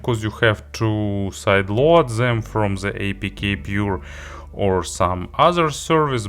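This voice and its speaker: A man giving a product review walkthrough, halting a little as he lists features item by item. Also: on the low side, a bit bright. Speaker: tech reviewer demonstrating an audio player.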